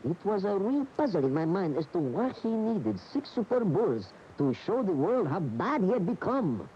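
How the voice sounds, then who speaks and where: An actor playing a candid bullfighting professional, giving measured, confiding testimony in a radio drama. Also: male voice, high voice, sing-song, a little hiss or hum. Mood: candid, skeptical. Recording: dull AM radio tape.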